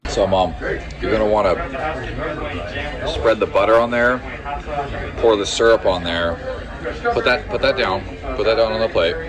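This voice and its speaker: A man's voice: fairly low pitch, moderate pace, long pauses, over loud music. A man in his forties hosting a casual travel vlog, holding the camera at arm's length as he films himself in a diner and then outside it. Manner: upbeat, talks his mother through her meal step by step. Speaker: vlogger. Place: diner in an old train car, then outdoors by it. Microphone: handheld camera at arm's length.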